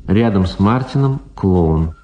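A man narrating a radio drama from a script, setting each scene in a measured way. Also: low voice, animated, mellow. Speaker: narrator, presenter.

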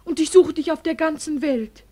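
An actress in a German radio drama playing a tender, lovelorn girl, speaking gently and plaintively. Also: high-pitched, normal speed, close to an everyday voice. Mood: tender longing. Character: tender, lovelorn girl searching for her lost beloved.

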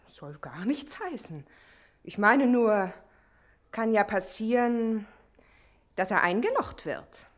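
Freche, höhnische Gangsterbraut. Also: female voice, medium pitch, very animated, slow pace, long pauses. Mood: sly threat. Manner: sneering, slangy, needling. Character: brash, scornful moll of a crime boss. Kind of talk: 1960s German radio drama acting.

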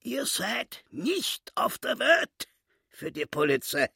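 A histrionic little swindler boss in a radio play, self-pitying and wheedling. The voice creaks and rasps, drawing words out slowly in a very dry, close studio sound. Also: male voice, high-pitched, big pitch swings, bright, forceful tone, no room sound, heavily acted. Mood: scheming, insistent. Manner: theatrical, wheedling, emphatic pauses.

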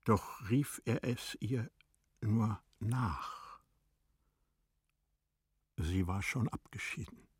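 A man narrating a tragic classic story in a radio play, in a solemn, measured, dramatic manner. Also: fairly low pitch, slightly rough, dry recording, studio quality, full character voice. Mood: grave.

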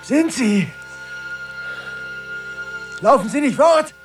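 A man's voice: very high-pitched, expressive, drawn-out words, full character voice. An actor playing an anguished, ailing man who calls out in an agitated, pleading way in a radio drama. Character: anguished ailing man, Hoffmann, calling out to a stranger. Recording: distant from the mic, faint music.

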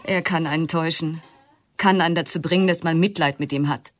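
A woman's voice: sits low, fairly even intonation, a little fast, natural voice. An actress playing a weary young mother in a radio play who speaks in a tense, guarded way. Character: weary young mother, fearful of her brother.

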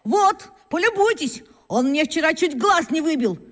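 An indignant schoolboy in a children's radio drama. The voice is high and accusing, declaiming complaints in a long hall-like reverb. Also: big pitch swings, measured pace, a bit bright, heavily acted. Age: child character. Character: indignant fifth-grade schoolboy, scolding and accusing. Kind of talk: children's radio play acting.

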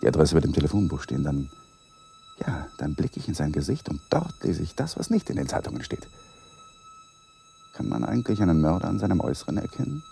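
An actor in a radio play as a young, awkward lawyer, an evasive husband who placates and broods in inner monologue. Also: male voice, on the low side, huge pitch swings, quick pace, vocal fry, warm tone, full character voice. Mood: musing, obsessive.

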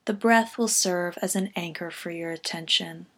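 Woman calmly guiding a meditation. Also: lowish voice. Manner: calm, gentle, measured delivery with soft phrase endings. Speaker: meditation guide. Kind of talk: scripted guided body scan meditation.

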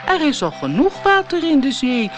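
A woman's voice: sits high, sing-song, normal speed, highs cut off, over music, pitched above the performer's own voice. Woman playing a singsong, kindly old sea turtle. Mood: jovial, consoling. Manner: singsong rhyming delivery. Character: kindly, playful old male sea turtle speaking in rhyme. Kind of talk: children's storybook character voice.

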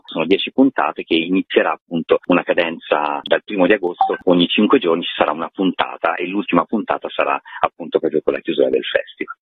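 A man's voice: low-pitched, husky, no room sound. A man explaining his work informally as a guest in a radio interview. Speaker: festival artistic director, interview guest. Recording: muffled lo-fi radio interview line.

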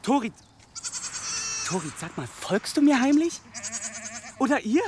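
A man's voice: very high voice, very animated, a little slow, full character voice. A man playing an earnest, defensive young goatherd in naturalistic radio drama dialogue. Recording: outdoor noise with goats. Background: bleating goats, outdoor ambience.

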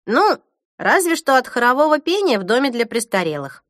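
A woman's voice: high-pitched, big pitch swings, brisk, fairly bright tone, no echo, studio quality, voice pushed up. A woman voicing a blunt, joking young woman who works in a care home, in a storybook reading. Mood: wry. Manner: teasing, blunt.

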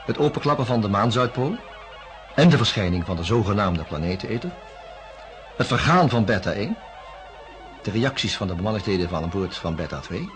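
An actor playing a measured, polite male psychiatrist in a radio drama, speaking deliberately and reflectively. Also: fairly low pitch, sing-song, a bit bright, soft background music. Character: measured, open-minded male psychiatrist investigating a case. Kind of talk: radio drama acting and first-person narration.

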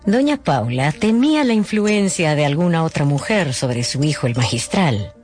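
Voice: mid-range voice, very animated, normal speed, a little mellow, soft background music. A narrator telling a radio novel in a scripted, dramatic style.

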